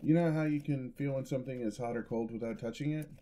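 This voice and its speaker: A man playing a gentle, sheepish, affectionate headless horseman boyfriend in a soft-spoken ASMR roleplay, with hesitant delivery. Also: medium pitch, fast talker, lightly acted. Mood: thoughtful, explaining. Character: gentle, clumsy male dullahan boyfriend, centuries old. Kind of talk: ASMR roleplay, intimate acted monologue.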